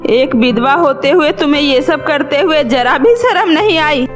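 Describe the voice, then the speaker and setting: Elderly rich mother-in-law played by a woman, harsh, scolding, contemptuous, high voice, cartoon story over music bed. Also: lively intonation. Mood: scornful. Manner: scolding, contemptuous, drawn-out exclamations.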